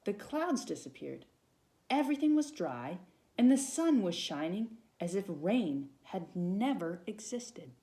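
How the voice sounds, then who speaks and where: A woman reading a children's storybook aloud as a volunteer, with dramatic pauses and emphasis. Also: mid-range voice, very animated, warm tone.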